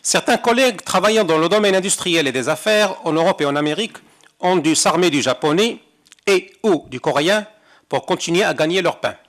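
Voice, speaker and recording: A man's voice: on the high side, expressive, fairly quick, fairly bright tone. An older man from Morocco, a conference interpreter, reading a prepared talk at a seminar with wry, rhetorical asides. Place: seminar hall.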